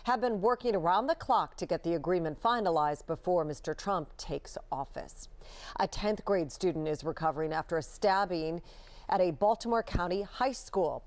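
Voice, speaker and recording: A woman anchoring a TV newscast, reading scripted stories. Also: fairly low pitch. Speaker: news anchor. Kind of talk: scripted TV news delivery.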